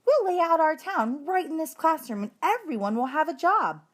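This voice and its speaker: A woman voicing a kindly but firm female schoolteacher who addresses her class in a deliberate, instructive way. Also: high-pitched, lively intonation, voice pushed up.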